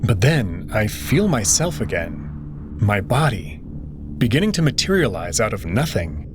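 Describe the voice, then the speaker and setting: A man reading dramatic first-person fiction in a dreamy, drawn-out way. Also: lowish voice, very animated, background noise. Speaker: reader voicing a first-person protagonist. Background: music.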